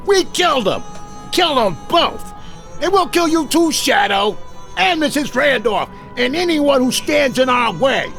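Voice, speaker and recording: An actor playing a desperate, murderous doctor, cornered and frantic, in 1950s radio melodrama style. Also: male voice, extremely high pitch, lively intonation, a little slow, vocal fry, fairly bright tone, soft background music, full character voice. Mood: desperate, threatening.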